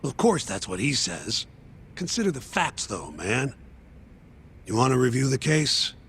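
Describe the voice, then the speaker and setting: An actor in an English game dub playing a brash, flirty middle-aged lawyer with a crass, casual manner. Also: male voice, medium pitch, big pitch swings, a little slow, a bit bright, slight background noise. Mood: dismissive.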